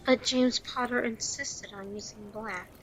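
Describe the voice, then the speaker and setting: A woman's voice: on the high side, steady tone, unhurried, fairly bright tone. A woman playing a curious, gossipy tavern landlady in an audio play, speaking with questioning astonishment. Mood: shocked. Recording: dull, slightly noisy home recording.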